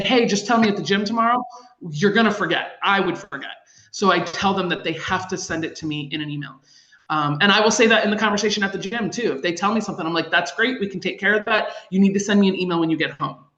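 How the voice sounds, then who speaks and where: A woman's voice: lowish voice, fairly bright tone. A woman, a kids program director, explaining her methods informally on a group call and repeating phrases for emphasis. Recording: roomy, dull call audio.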